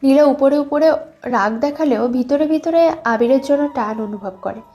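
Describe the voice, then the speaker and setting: A woman's voice: sits high, moderate pace, warm tone, dull audio. A young woman reading scripted narration for a dramatized love story. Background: background music.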